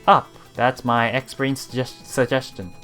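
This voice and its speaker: A streamer reading visual novel text aloud in a halting way, adding casual commentary over game music. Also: mid-range voice, steady tone, fairly bright tone. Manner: halting reading, often repeating broken words. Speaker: let's player streamer.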